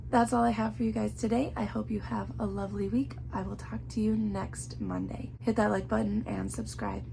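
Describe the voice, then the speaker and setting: Hoarse young woman with a gravelly voice. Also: mid-range voice, brisk, dark tone, a little hiss or hum, rough recording. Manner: chatty, many "like" fillers, earnest. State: hoarse, voice worn out. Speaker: book reviewer. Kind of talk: casual book review vlog.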